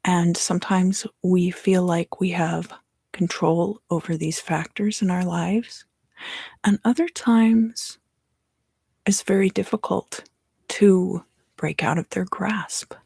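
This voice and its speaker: A woman giving an ASMR tarot reading in a soft, unhurried whisper. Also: lowish voice, steady tone, gravelly, husky, no room sound, clean studio sound. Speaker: tarot reader and ASMR host.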